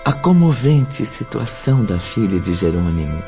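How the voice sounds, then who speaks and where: Guy playing solemn brazilian radio play storyteller, dark mellow voice, dull sound, music under. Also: on the low side, big pitch swings. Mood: solemn, gentle. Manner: formal, deliberate. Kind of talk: scripted radio drama narration. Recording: dull audio with music bed.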